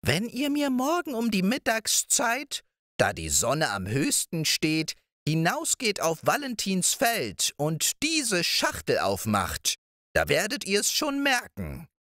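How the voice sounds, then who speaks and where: A man's voice: high voice, huge pitch swings, takes it slowly, pushed and bright, dry recording, clean studio sound, voice pushed up, exaggerated voice. A man voicing a wheedling old witch of the moor in a storybook reading, coaxing and sing-song. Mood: whispering glee.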